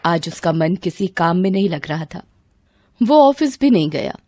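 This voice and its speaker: A woman's voice: on the low side, lively intonation, moderate pace, darkish tone, a little hiss or hum. A woman reading a scripted story as narrator, acting out its dialogue.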